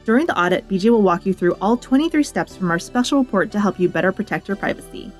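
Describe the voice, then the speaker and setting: A woman's voice: mid-range voice, lively intonation, fast talker, faint music behind. A woman host reading sponsor and outro copy in an upbeat, friendly scripted way. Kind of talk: scripted ad read.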